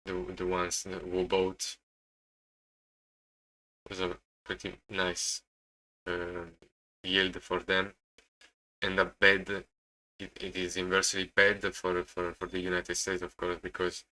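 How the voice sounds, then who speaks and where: A man from Italy with an Italian accent, giving casual live market commentary as a streamer, halting and often repeating himself as he searches for words. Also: low voice, sharp tone, rough recording. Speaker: day trading live streamer.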